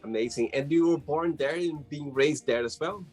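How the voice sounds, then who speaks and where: A man's voice: a little high, moderate pace, darkish tone, slightly rough, faint music behind. A man with a strong Brazilian accent, hosting an interview in an upbeat way with short praise. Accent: Brazilian Portuguese. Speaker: host.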